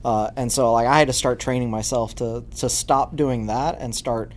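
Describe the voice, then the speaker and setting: A man's voice: medium pitch, fairly even intonation, moderate pace. Forty-ish male pastor, casual storyteller, faint room noise. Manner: conversational, storytelling, self-deprecating. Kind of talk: casual chat.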